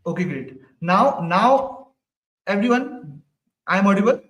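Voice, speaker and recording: A man's voice: high voice, animated, a little slow, a bit bright. A man teaching a live online programming class, asking the class questions over and over and ending his sentences with "right?". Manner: repeats questions to the class, ends lines with "right?". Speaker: programming instructor. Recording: echoey room, mic at a distance.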